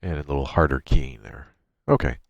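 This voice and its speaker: A man presenting an informal software tutorial, explaining things step by step into a USB microphone. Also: extremely low pitch, fairly quick, creaky, dull audio, heavy background noise. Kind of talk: informal software screencast walkthrough. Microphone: USB microphone through a noise gate.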